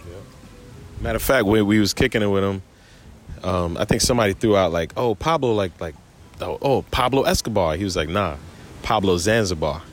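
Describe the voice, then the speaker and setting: A man with a fairly low voice joins a relaxed group conversation, chiming in with short supportive remarks. Faint music plays behind him. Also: a little fast. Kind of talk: casual chat, chiming in.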